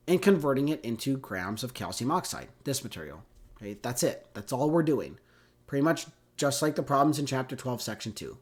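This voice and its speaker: A man with a congested cold, teaching a chemistry lesson in a casual, conversational way, often checking in with "right?" and "okay?". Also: medium pitch. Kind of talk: recorded classroom lecture.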